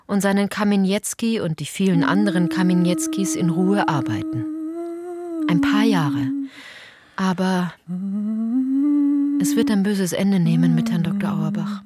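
A woman as a mid-pitched radio play narrator. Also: close to an everyday voice. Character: female storyteller of a documentary radio play.

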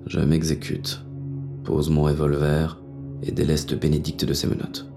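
An actor playing a hard-boiled, bitter police inspector who narrates a thriller in terse, intense first person. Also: male voice, extremely low pitch, monotone, measured pace, vocal fry, mellow, faint music behind, heavily acted. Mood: tense, wary. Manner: gritty, terse, intense delivery.

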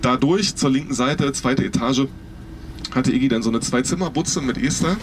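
A man's voice: medium pitch, normal speed. A man giving chatty, slangy tour-guide commentary outdoors. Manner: colloquial, slangy storyteller. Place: outdoors on a city street. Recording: outdoor street noise. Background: street traffic.

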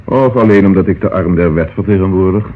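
An actor playing a courteous police inspector in a Dutch radio drama, asking calm, probing questions. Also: male voice, low-pitched, brisk, close to an everyday voice. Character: police inspector, courteous, tender with his sweetheart. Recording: muffled, noisy old radio recording.

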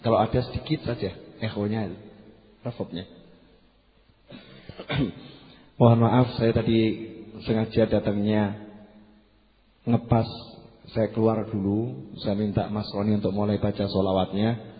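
Low-voiced male preacher in a reverberant hall. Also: steady tone, measured pace, long pauses. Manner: conversational, repeats key phrases, asks the audience questions. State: slightly hoarse after losing voice. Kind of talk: religious lecture to a congregation. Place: large hall at a religious gathering. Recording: muffled PA with long hall reverb.

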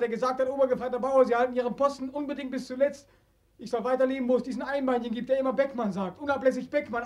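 A man's voice: very high-pitched, a little mellow. A man acting a haunted, despairing returned soldier in a 1940s German radio play, brooding and intense, swinging between whispers and outcries.

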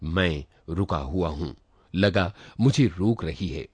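A man narrating a literary short story in an expressive reading style. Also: sits low, takes it slowly, slightly rough, no room sound. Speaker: story reader.

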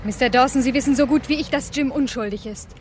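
Actress as an anxious wife, higher-pitched voice. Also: female voice, fast, lightly acted. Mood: indignant, reproachful. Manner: pleading, reproachful. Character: anxious, loyal wife of a murder suspect. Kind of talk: German crime radio play acting. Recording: faintly noisy vintage radio play recording.

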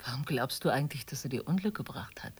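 An actress playing a calm, frank middle-aged mother who reasons matter-of-factly with a friend in a naturalistic radio drama. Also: extremely low pitch, fast talker, breathy edge, heavily acted. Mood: reasoning, consoling.

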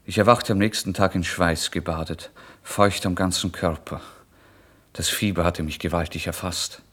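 A man's voice: low voice, fairly even intonation, normal speed, husky. An actor playing a starving, feverish, proud man who narrates his own torment in a theatrical, intense radio monologue. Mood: weary, dazed. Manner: theatrical, intense, swinging from hushed to loud outbursts. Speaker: first-person narrator. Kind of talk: dramatic literary monologue for radio.

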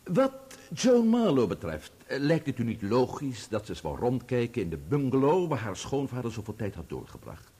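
An actor playing an insistent barrister in a Dutch radio play, questioning in a formal, insinuating manner. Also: male voice, medium pitch, sing-song, normal speed, hardly any pauses, mellow, breathy edge. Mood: pointed, insistent. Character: insistent male barrister cross-examining a witness.

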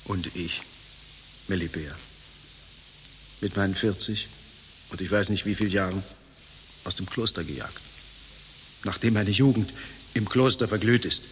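An actor playing a timid, tormented runaway monk in his forties in a radio play, halting, overwrought and apologetic. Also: low voice, a bit bright. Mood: pained. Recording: hissy, humming recording with long reverb.

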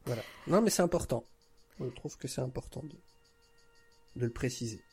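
A man's voice: medium pitch, lively intonation, normal speed, darkish tone, breathy edge, faint music behind. A man talking as a podcast guest in a casual, informal, easygoing conversational style.

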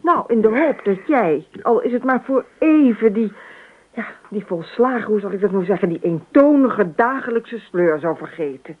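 An actress in a Dutch radio play, playing a dreamy, chatty wife who rambles on insistently and repeats herself. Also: female voice, medium pitch, animated, normal speed, natural voice. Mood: pleading. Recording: tinny band-limited radio recording with hiss.

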